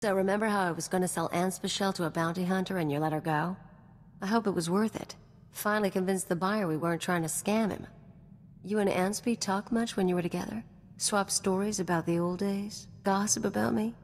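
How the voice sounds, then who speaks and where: A woman's voice: mid-range voice, fairly even intonation, brisk, slight background noise, lightly acted. A woman playing a brash, scheming, vindictive mercenary in a video game, with a cocky, sardonic, slangy delivery. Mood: teasing. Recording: long reverb, music behind.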